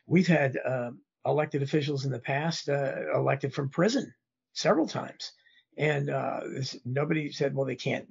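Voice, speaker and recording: Male guest commentator, hoarse and losing his voice, halting delivery, raspy and dull-sounding. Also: on the high side. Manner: rambling, halting with restarts. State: losing his voice, throat-clearing. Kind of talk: political interview.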